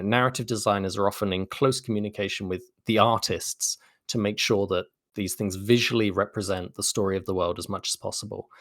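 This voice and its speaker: A man's voice: on the low side, no echo, studio quality. A man speaking as an interview guest, articulate and explanatory in a thoughtful conversational style. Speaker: interview guest, game narrative designer.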